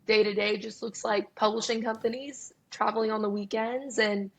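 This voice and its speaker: A young woman in her twenties with a raspy voice, chatting candidly and openly as a guest musician in an interview, often breaking into laughter. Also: fairly high pitch, little pitch movement, moderate pace, poor audio. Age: 20s. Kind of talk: casual interview chat. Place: Nashville.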